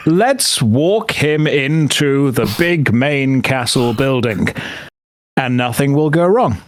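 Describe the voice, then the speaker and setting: A man playing a tabletop game, explaining things in an articulate, deliberate and dryly playful way. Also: mid-range voice, fairly bright tone. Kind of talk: theatrical explanatory game chat.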